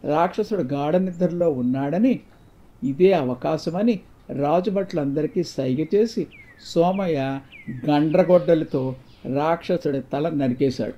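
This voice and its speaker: A man's voice: a little high, a little slow, dark tone. A man reading a scripted moral folk tale as a storyteller. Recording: dull, muffled lo-fi sound.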